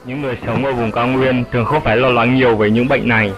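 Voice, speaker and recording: A man voicing an old grandfather flying fox in an educational cartoon, explaining things in a measured, didactic way. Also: mid-range voice, steady tone, noisy background, close to an everyday voice. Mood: instructive.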